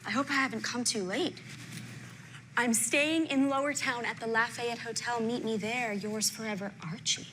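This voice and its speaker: An actor playing an earnest, devoted suitor in a period drama, as if reading out a love letter. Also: male voice, very high-pitched, fairly even intonation, normal speed, pushed and bright, a little hiss or hum, poor audio. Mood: tender, hopeful.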